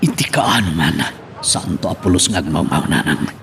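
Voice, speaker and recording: A man's voice: deep voice, lively intonation, normal speed, gravelly, fairly bright tone, slight background noise, full character voice. A man with an Ilocano accent playing an intense, bitter man with a grudge in a radio drama.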